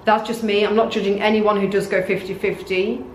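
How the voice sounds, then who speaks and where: A young woman from North Africa talks through her opinions in a relaxed, casual monologue. Her delivery stays flat and monotone, in a voice she describes as deep. The room rings with echo, and a little noise sits underneath. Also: a little fast. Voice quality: says she has a deep voice. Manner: says her voice and face are monotone. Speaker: vlogger. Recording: echoey room.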